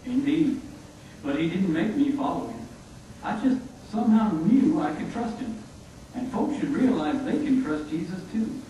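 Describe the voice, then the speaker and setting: A man voicing a folksy, gentle frontier father in a puppet show, telling things earnestly. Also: sits high, sing-song, moderate pace. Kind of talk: scripted church puppet show dialogue. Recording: echoey room, distant mic, faint noise.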